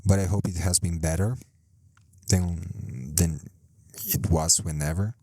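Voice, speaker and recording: A man's voice: sits low, takes it slowly, mellow, husky, no room sound. A man hosting a solo podcast from a home setup, rambling and self-deprecating, swearing often and repeating himself, speaking close to the mic. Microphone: close home mic, sometimes too close.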